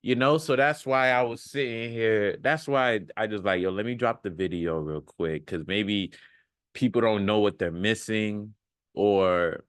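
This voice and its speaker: A man in his thirties speaks in a fairly low register, very close and dry on a big microphone. He delivers a casual, unscripted motivational monologue in informal, slangy language, repeating phrases for emphasis. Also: a bit bright, no room sound. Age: 30s. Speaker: self-improvement content creator. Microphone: large microphone up close.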